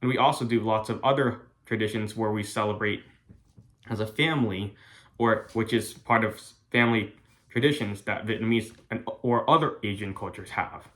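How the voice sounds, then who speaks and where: A young man from the United States giving a prepared class speech in an earnest, careful, halting way. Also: lowish voice, fairly bright tone. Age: young adult. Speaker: college student.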